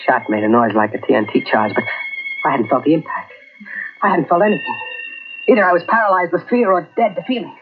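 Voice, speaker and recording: A man's voice: a little high, fast talker, faint music behind, lightly acted. An actor playing a frightened small-time crook who narrates his own story, nervous and pleading, in 1940s radio drama style. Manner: nervous, pleading, rising to panicked shouts. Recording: muffled old radio transcription with hiss.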